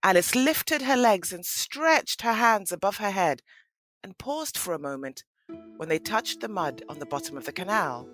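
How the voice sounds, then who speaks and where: A woman narrating a scripted audio story as an expressive storyteller. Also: medium pitch, moderate pace, faint music behind.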